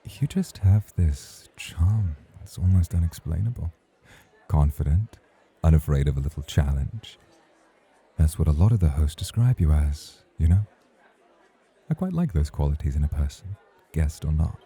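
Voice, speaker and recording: A man's voice: rumbling low, lively intonation, normal speed, dark tone, breathy edge, exaggerated voice. A man acting in an ASMR roleplay as a suave, teasing club host, formal and flirtatious in his way of talking.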